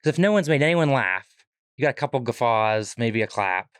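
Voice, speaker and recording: Fast-talking man with a Pittsburgh accent. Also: mid-range voice, clear, resonant tone, no echo, clean studio sound. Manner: quick, animated explainer, swears casually. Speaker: guest, economics professor and comedian. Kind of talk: casual podcast chat.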